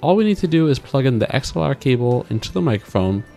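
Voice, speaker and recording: A man presents a scripted gadget review in an upbeat presenter style. His voice sits at an average pitch, with music playing behind it. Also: sing-song, fast talker, gravelly, mellow. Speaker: tech review vlogger. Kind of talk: scripted product review voice-over. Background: background music.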